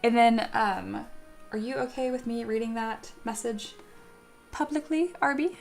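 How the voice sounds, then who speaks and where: A young woman streaming live and chatting with viewers in a bubbly, excitable way, laughing often and reading chat messages aloud. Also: on the high side, measured pace, vocal fry, faint music behind. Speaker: streamer, 3D sculptor. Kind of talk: casual livestream chat with viewers.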